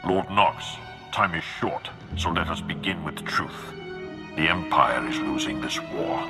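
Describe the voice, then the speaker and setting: An actor playing a grim, commanding dark lord in a video game, speaking in a grave, formal and measured way. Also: male voice, extremely low pitch. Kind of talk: scripted video game acting. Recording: game music behind the voice.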